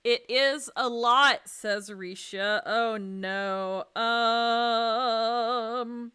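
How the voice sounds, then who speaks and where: A woman hosting a casual game livestream in a playful, expressive way, sounding a little sniffly. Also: fairly high pitch, drawn-out words, smooth. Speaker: game streamer and host. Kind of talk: casual livestream chat while playing a game.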